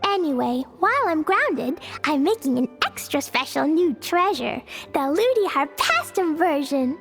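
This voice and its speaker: A woman's voice: high-pitched, big pitch swings, unhurried, a bit bright, soft background music, exaggerated voice. A woman voicing a sweet, naive and mischievous little girl in a video game, innocent and childlike. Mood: torn, eager. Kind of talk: animated video game voice acting.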